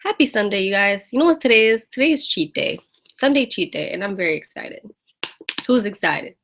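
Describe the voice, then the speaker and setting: A woman's voice: mid-range voice, lively intonation, brisk, fairly bright tone. An American woman hosting a casual live fitness Q&A, chatting and laughing as she greets viewers by name. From United States. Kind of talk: casual live-stream chat.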